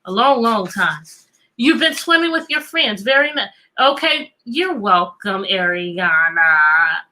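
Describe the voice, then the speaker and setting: A woman teacher hosting an interactive read-aloud for children, talking in an animated way and putting questions to her young listeners. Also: mid-range voice, slow, rough recording. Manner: animated, asking listeners questions and repeating them.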